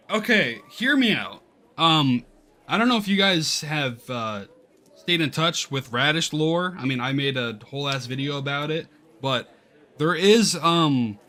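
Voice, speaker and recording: A man hosting a gaming video, giving casual let's-play commentary in a rambling, halting way and often interrupting himself. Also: fairly high pitch, bright, forceful tone. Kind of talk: casual let's-play commentary, reading game text aloud.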